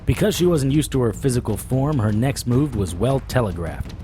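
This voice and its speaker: A man's voice: mid-range voice, lively intonation, normal speed, mellow, faint music behind, natural voice. A man playing a tough, wry supernatural investigator, acting and narrating in a noir audio drama. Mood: tense narrating. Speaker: narrator and lead character.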